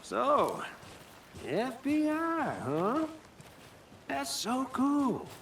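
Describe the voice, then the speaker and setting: Naive chatty small-town deputy in a game, high creaky voice, really eager. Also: male voice, big pitch swings, drawn-out words, fairly bright tone, distant mic, slight background noise, exaggerated voice. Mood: eager, excited. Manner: folksy, chatty, starstruck. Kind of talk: video game dialogue.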